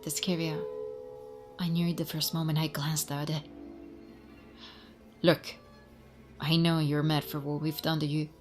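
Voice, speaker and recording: A woman's voice: sits low, flat delivery, unhurried, pauses often, soft background music. An actress playing a frightened woman scientist who pleads desperately for her life in an audio drama. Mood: tense.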